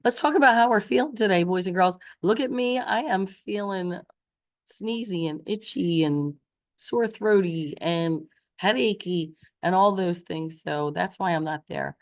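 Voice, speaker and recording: A woman's voice: fairly low pitch, measured pace. A woman teacher with a stuffy nose and scratchy throat, leading a recorded morning meeting in a sing-song, encouraging voice for young children.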